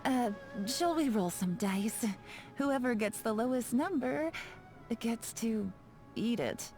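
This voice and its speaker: Sly female spymaster, dark mellow voice, mid pitch, teasing, confident, video game dialogue, music behind. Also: expressive, takes it slowly. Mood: relaxed, musing. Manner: teasing, smooth, self-assured.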